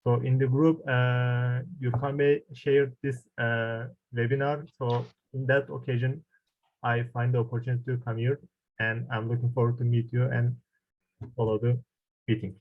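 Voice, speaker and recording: A man introducing himself on a video call, earnest and a bit formal, pausing as he searches for words. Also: mid-range voice, flat delivery. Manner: earnest, slightly formal, halting. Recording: thin phone-like call audio, dry.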